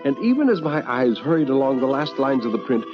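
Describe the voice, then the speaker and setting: An actor playing an elderly, anxious but philosophical man, narrating in a deliberate, literary manner for radio drama. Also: medium pitch, big pitch swings, close to an everyday voice. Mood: agitated, theatrical. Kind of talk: old-time radio dramatic reading. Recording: muffled vintage radio recording with music.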